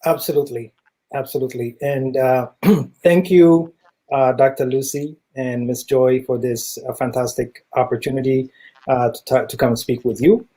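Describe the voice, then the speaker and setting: A middle-aged man with an East African accent explaining himself as an interview guest, earnest and measured, with hesitant phrasing. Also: medium pitch, steady tone, dark tone. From East Africa, Ethiopia and Kenya. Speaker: interview guest, tech entrepreneur.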